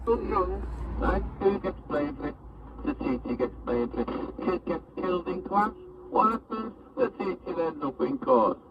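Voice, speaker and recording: A man's voice: high-pitched, lively intonation, normal speed, far from the mic. A man in casual chatter, heard through a CB radio transmission. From Teesside, north-east England. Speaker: CB radio operator. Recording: muffled, very noisy CB radio reception.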